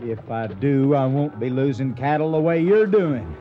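A man's voice: mid-range voice, lively intonation, normal speed, a crowd in the background, close to an everyday voice. A man acting a bossy, short-tempered cowboy in a western radio drama. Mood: angry, accusing. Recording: muffled, noisy outdoor-scene radio broadcast.

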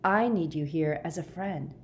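A woman's voice: sits low, normal speed, slight background noise, close to an everyday voice. A woman voicing a kind, steadfast boy in a storybook, earnest and reassuring. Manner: earnest, reassuring, full of conviction.

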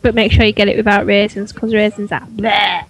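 A woman's voice: medium pitch, little pitch movement, a little fast, slightly rough. A woman co-hosting a casual podcast chat, blunt and joking, firing off questions. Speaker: podcast co-host.

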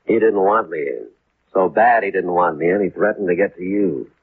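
Tough American crook, low and raspy, terse, menacingly casual, vintage narrow radio sound. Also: male voice, normal speed, exaggerated voice. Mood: cold menace. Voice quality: gravelly, raspy. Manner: terse, slangy. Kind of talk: 1950s radio crime acting. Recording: muffled, narrow-band vintage 1950s radio.

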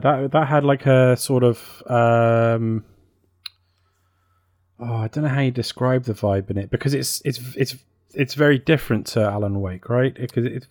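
A man's voice: medium pitch, a little mellow, dry recording, studio quality. A man co-hosting a podcast, chatting casually and hesitantly as he thinks aloud. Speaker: podcast co-host. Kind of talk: casual chat about games and films.

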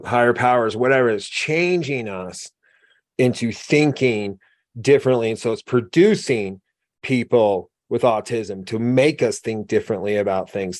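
A man's voice: mid-range voice, dry recording. A man hosting an interview, musing aloud in a reflective, slightly rambling way. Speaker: podcast host. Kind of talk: casual interview conversation.

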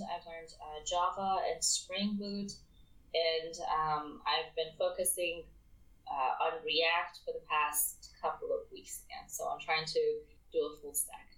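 A woman from Iran talking in a casual podcast chat, halting, with many ums and uhs. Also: low-pitched, a bit bright. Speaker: guest co-host. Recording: roomy, far from mic.

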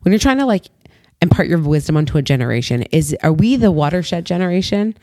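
A young woman host with a low voice chats enthusiastically and peppers her questions with fillers. Her voice sounds close and dry. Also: animated, fast, warm tone, dry recording. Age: young adult. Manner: chatty, enthusiastic, filler-heavy. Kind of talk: casual interview chat.

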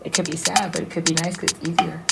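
A woman's voice: fairly low pitch, flat delivery, quick pace, vocal fry, very noisy. A woman co-hosting a podcast in casual, playful chat, laughing often and acting out bits of mock dialogue. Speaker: podcast co-host.

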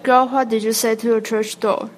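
A woman's voice: a little high, little pitch movement, moderate pace, slight background noise, natural voice. A woman voicing a scheming false bride who dodges questions and bosses her maid. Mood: evasive. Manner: evasive, bossy toward her maid.

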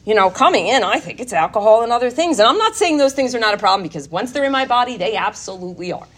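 A woman's voice: on the high side, lively intonation, quick pace, fairly bright tone. A woman speaking candidly and emphatically at a recovery workshop, giving a personal testimony talk to an audience.

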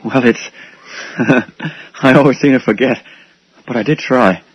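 An actor playing a nervous, apologetic, hesitant dental patient with a husky voice in a 1980s radio drama. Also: male voice, medium pitch, measured pace, no room sound. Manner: hesitant, stammering. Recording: dull old radio recording.

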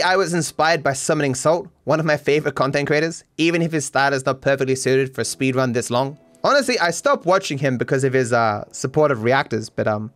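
A man rambles through a solo podcast, catching himself and restarting mid-thought. His voice sits a little high and jumps up and down as he talks. Faint music plays underneath. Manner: rambling, halting restarts, wide pitch swings. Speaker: podcast host.

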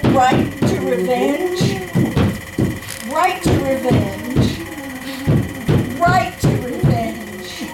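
A woman's voice: sits high, expressive, extremely slow, pauses often, heavily acted. An actress playing a grieving young woman set on revenge, in a melodramatic old-time radio horror style. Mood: dazed, vengeful. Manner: melodramatic, chanting repetitions. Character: vengeful grieving young woman avenging her dead sister. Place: live stage performance. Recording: roomy distant stage recording, very loud music.

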